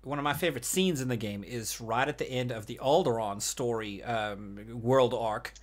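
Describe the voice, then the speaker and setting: A man's voice: mid-range voice, normal speed. A man co-hosting a gaming podcast in casual, rambling chat, hesitating as he thinks things through. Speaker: podcast co-host discussing a video game.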